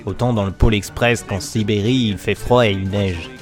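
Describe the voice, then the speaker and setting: A man narrating a scripted mock documentary in a mock-serious, deliberate manner. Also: on the low side, steady tone, faint music behind.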